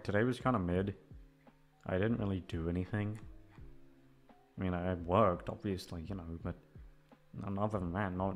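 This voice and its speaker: A man rambling in casual chat to his listeners, hesitant, repetitive, sweary and self-deprecating. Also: sits low. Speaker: audio creator addressing listeners.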